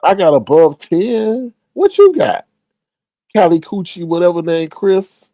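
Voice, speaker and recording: A congested man hosting a casual gossip commentary stream, animated and slangy, laughing and jumping high on his reactions. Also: measured pace, no echo. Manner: animated, laughing, loud pitch jumps on reactions; slangy, says "child" often. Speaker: commentary channel host.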